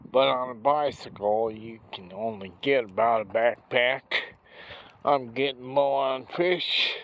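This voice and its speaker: A man with a gravelly voice from a stroke, talking slowly and haltingly in a casual vlog while out walking, recorded on a handheld phone. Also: medium pitch, slight background noise. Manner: rambling, many pauses and restarts. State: recovering from a stroke, slow effortful speech. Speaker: vlogger. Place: outdoors on a walk.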